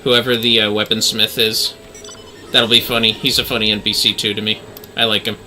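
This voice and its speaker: A man's voice: lowish voice, level tone, fairly quick, pushed and bright, slight background noise. A man giving casual, rambling livestream game commentary, swearing now and then. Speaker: game streamer.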